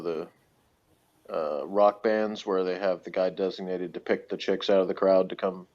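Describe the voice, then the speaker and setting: A man's voice: lowish voice, darkish tone. A man co-hosting in casual chat, speaking in a slightly hesitant, tentative way. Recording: dull, lo-fi audio.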